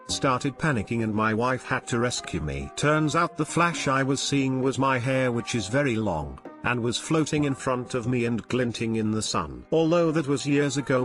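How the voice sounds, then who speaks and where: A man narrating online posts and comments in a steady, even read-aloud delivery. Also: medium pitch. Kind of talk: scripted story narration.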